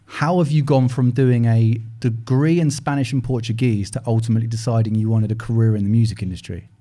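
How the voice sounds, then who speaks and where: A man's voice: mid-range voice, steady tone, brisk, warm tone. A man hosting a podcast, reading a scripted intro and then chatting casually with friendly, hesitant fillers. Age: older than 31. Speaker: podcast host.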